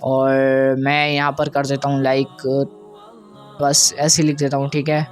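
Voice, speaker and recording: A man's voice: mid-range voice, completely flat delivery, a little slow, soft background music. A man presenting an audio editing tutorial in a casual, conversational way, often checking that listeners follow. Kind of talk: informal software tutorial.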